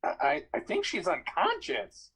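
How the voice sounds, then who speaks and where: A woman voicing a nervous young male satyr who stammers and yells. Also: medium pitch, wildly swooping pitch, measured pace, gravelly, breathy edge, voice pushed down, exaggerated voice. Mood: jittery. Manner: jittery, yelling. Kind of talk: audiobook character voice.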